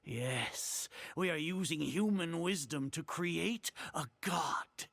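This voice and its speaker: An actor playing an arrogant older grand sage in a video game, speaking in a pompous, formal and condescending way. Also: male voice, a little high, takes it slowly, creaky, a bit bright, heavily acted. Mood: zealous, exalted.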